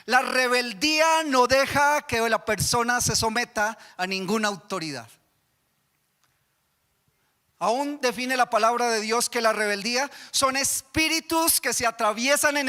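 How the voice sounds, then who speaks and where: A man's voice: extremely high pitch, normal speed, few pauses, bright, forceful tone. A man with a Colombian accent preaching a sermon as pastor, often asking the congregation questions. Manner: asks the congregation repeated questions. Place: church hall. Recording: church hall reverb.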